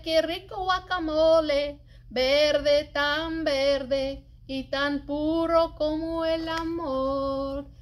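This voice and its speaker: A middle-aged woman reading a children's poem aloud in an expressive, singsong, deliberate way, as a story-time librarian. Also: sits high. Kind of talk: animated read-aloud of a children's cooking poem. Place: indoors, public library children's area.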